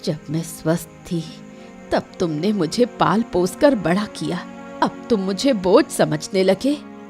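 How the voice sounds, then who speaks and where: A woman's voice: medium pitch, lively intonation, slow, a little mellow, soft background music. A woman voicing a frail, reproachful old village mother in a cartoon moral story, with a plaintive manner. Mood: hurt, reproachful. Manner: plaintive, guilt-tripping.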